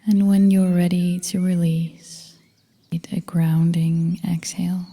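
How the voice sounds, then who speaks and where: A woman guiding a meditation as a yoga nidra instructor, speaking in a soft, breathy half-whisper with a calm, gentle, soothing manner. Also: on the low side, takes it slowly. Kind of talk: guided meditation narration. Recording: long reverb, faint noise with birdsong.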